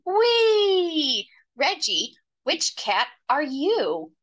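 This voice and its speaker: A woman plays a lively young girl from a children's storybook. Her voice is high and swoops freely. She is playful and exclamatory, taking her lines slowly. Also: exaggerated voice. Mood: excited, urging. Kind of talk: children's storybook read-aloud.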